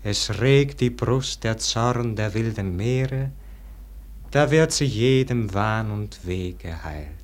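A man's voice: on the low side, animated. An actor playing a melancholy, yearning nobleman in a classic radio verse drama, declaiming lyrically in standard German stage diction. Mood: solemn, subdued. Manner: solemn, lyrical, drawn-out declamation.

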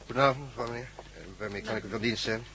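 An actor in a Dutch radio play playing a courteous, deferential, slightly hesitant police desk officer. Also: male voice, medium pitch, racing, very noisy, lightly acted. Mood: polite.

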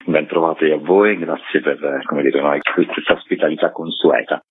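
A man's voice: very deep voice, steady tone, moderate pace, slight background noise. A man explaining things in radio interview answers as a festival organiser. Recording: muffled narrow phone-like line.

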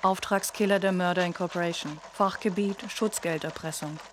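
A woman's voice: fairly low pitch, fairly even intonation, moderate pace, noisy background, lightly acted. A woman playing a clinical announcer who reads out a criminal dossier in clipped, factual phrases for a radio drama. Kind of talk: scripted dossier reading.

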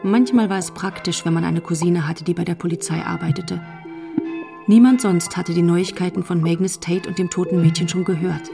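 Low-voiced woman narrator over music. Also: fairly even intonation, moderate pace, vocal fry. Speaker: narrator of a radio drama. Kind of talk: scripted literary narration. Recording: music bed under the voice.

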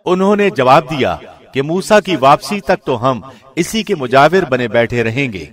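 A man reads a scripture translation in a solemn, measured and formal way, like a professional radio presenter. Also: mid-range voice, lively intonation, fairly bright tone.

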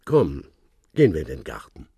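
An actor playing a cryptic old retired secret-service man who talks in measured hints. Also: a bass voice, huge pitch swings, vocal fry, mellow, husky, dry recording, clean studio sound, exaggerated voice. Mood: calm. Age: older. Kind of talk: radio play acting.

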